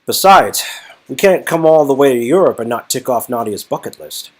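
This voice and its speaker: A goofy young hero of a romance game voiced by a man. He is laid-back, jokey and affectionate. His voice is husky and swings up and down freely. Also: medium pitch, lively intonation, normal speed, lightly acted. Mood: playful.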